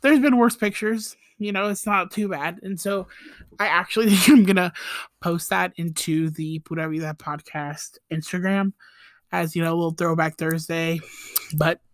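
A woman's voice: lowish voice, normal speed, no echo. Chatty young woman telling a story. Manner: chatty, fillers of "like" and "you know", laughing asides. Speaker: podcast host. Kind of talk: casual storytelling.

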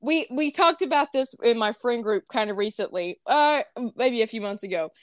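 Young female podcast co-host, tipsy, high voice, rambling and giggly, dry close sound. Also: expressive, normal speed, dry recording. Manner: giggly, rambling, loud bursts of laughter. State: tipsy from wine. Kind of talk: casual chat.

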